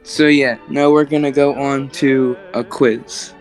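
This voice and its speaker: A young man giving an informal explanatory presentation in a casual, halting way. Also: medium pitch, steady tone, over loud music.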